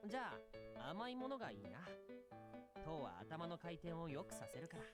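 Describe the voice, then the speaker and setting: A man's voice: a little high, huge pitch swings, fast talker. An actor playing a cool, courteous young man with dry barbs in a Japanese visual novel. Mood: courteous, teasing. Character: polite, cool, sardonic young man, a brother. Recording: game music behind the voice.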